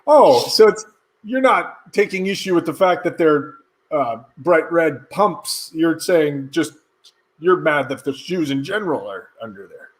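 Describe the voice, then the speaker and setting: A woman's voice: low-pitched, big pitch swings, poor audio. A woman playing a sheepish, evasive husband who halts and stammers in an improvised comedy scene. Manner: halting, hesitant, throat-clearing.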